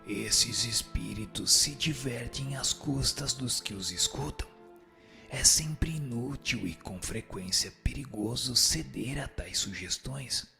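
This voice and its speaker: A man playing a calm, firm spirit teacher who gives measured, didactic answers in a scripted reading, with a breathy, gravelly voice. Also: mid-range voice. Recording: music bed under the voice.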